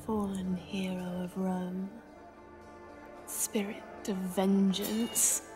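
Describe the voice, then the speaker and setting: An actress voicing a tattooed female seer who speaks slow, ominous prophecies in a game cutscene. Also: mid-range voice, flat delivery, over music. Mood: cryptic. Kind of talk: video game cutscene acting.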